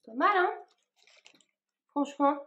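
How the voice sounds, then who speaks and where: A woman's voice: high voice, expressive, takes it slowly, pushed and bright, dull audio, slight background noise. A woman vlogging casually as she repots her plants, talking in a rambling way with plenty of fillers and restarts. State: a bit warm and tired from the work.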